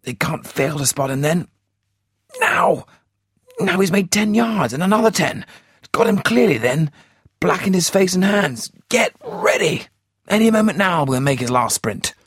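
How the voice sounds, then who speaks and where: A man voicing an excitable male army captain, giving a high, urgent, breathless running commentary from his lookout post. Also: unhurried, husky, no room sound, clean studio sound, exaggerated voice. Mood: excited.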